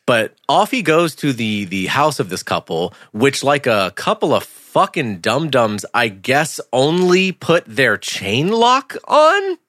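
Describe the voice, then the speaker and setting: Male podcast co-host, lively swooping delivery, bright forceful tone, jokey profane film chat. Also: medium pitch, slow, few pauses, no echo, clean studio sound. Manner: animated, joking, swears freely. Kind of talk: casual profane banter about a film.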